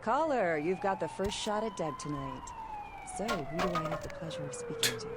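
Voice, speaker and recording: A sultry female late-night radio host in a video game. She is coy and teasing, with a lively, swooping pitch. Very loud noise and sirens sit behind the voice. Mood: flirty, teasing. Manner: coy, drawn-out, playful teasing.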